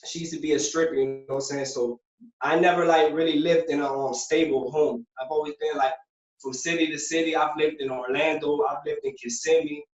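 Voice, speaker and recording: A young man from Puerto Rico talks fast and loose, slightly high-voiced, full of street slang and filler. He sounds set back from the mic in an echoey room, with a dull, lo-fi recording. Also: fairly even intonation, faint music behind. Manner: streetwise, loose, fills with "you know what I'm saying". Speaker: guest, former prisoner. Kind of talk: casual interview storytelling. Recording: roomy, distant, dull lo-fi sound.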